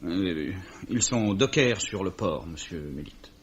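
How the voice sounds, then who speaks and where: An actor playing a courteous, earnest young clerk, slightly hesitant and mildly wry, in a 1950s-style radio mystery. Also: male voice, low-pitched, expressive, slow, a little hiss or hum. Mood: polite, stubborn. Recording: dull, muffled old radio recording.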